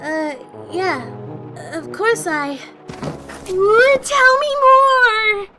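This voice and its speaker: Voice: very high voice, extremely slow, full character voice. A performer playing a naive, curious young listener in an audio drama. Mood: eager, bashful. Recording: music behind the voice.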